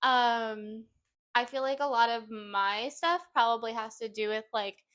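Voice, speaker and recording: A woman's voice: a little high, measured pace, a bit bright. A woman in her twenties co-hosting a podcast, chatting casually and leaning on "like" fillers. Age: 20s. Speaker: podcast co-host. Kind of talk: casual chat.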